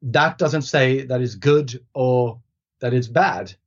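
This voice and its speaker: A man's voice: mid-range voice, slow. Swedish native guy chatting on a podcast, lots of uh and restarts, kinda dull lo-fi audio. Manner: thoughtful, hesitant with many restarts and fillers. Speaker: guest author. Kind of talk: casual podcast conversation. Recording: slightly dull lo-fi sound.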